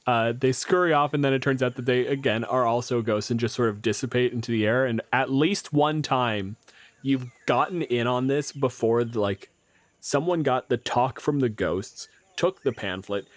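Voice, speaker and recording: A man's voice: mid-range voice, moderate pace, no room sound. Male game master, mid-range voice, improvising scenes conversationally with dry, sweary humor. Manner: conversational, wry, profane. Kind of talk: improvised casual game narration.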